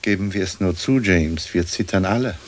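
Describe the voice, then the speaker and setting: An actor playing the dignified, ironic ghost of an old English lord in a radio play, speaking in ornate, formal phrases. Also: male voice, low-pitched, normal speed, slight background noise, lightly acted. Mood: stately, uneasy. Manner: pompous, ornate, formal diction. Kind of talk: radio drama acting.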